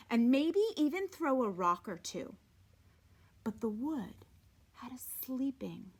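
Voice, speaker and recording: A woman with a high, very animated voice reads a story aloud. She takes her time, shaping phrases deliberately and dramatically, as in an expressive read-aloud for listeners following along. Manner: deliberate, dramatic phrasing, sometimes dropping to a hush.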